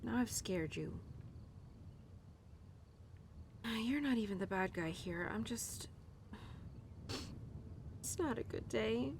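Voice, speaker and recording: A woman playing an upset young cowgirl talking tenderly and haltingly to her horse in an intimate audio roleplay. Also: expressive. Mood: sad, apologetic. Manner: halting, casual, sighing, talking softly to an animal. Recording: clear background noise with low rumble.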